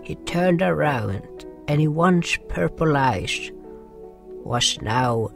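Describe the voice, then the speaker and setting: A man playing a gentle nonhuman swamp creature who narrates a fantasy audio drama haltingly, in broken grammar with dropped articles. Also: a little high, lively intonation, vocal fry, heavily acted. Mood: solemn storytelling. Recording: music behind the voice.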